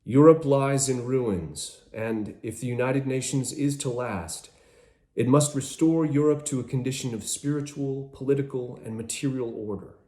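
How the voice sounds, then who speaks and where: A man's voice: medium pitch, normal speed, warm tone, reverberant. A man giving a solemn scripted narration as a narrator.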